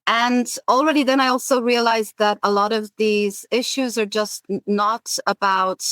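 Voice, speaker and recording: A woman's voice: a little high, fairly even intonation, normal speed, fairly bright tone, dry recording. Thoughtful woman guest, dry close sound. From Zambia. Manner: thoughtful, many fillers and restarts. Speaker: podcast guest, campaign coordinator. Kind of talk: conversational interview answers.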